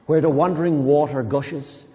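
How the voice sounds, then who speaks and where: An Irish man reading a poem aloud to children, reciting slowly and dramatically and breaking off into playful, chatty explanations. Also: fairly high pitch, fairly even intonation, background noise. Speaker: poetry reader leading children. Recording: long reverb in a hall.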